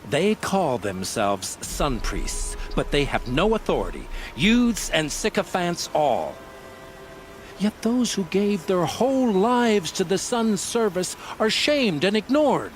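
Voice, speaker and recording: A man's voice: sits high, big pitch swings, slow, faint music behind, full character voice. A man playing a bitter, proud religious zealot in a video game, indignant and pompous in his speech. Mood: indignant, scornful. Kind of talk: video game dramatic dialogue.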